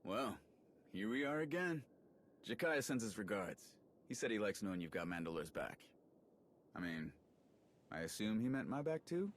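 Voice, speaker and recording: Casting: friendly sci-fi game slicer, chatty and wry, animated delivery on the lower side. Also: male voice, moderate pace, long pauses, a little hiss or hum. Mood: casual, fond. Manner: casual, wry, rambling with asides. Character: eager, chatty male soldier and tech slicer, friendly and quippy. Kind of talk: video game cutscene acting.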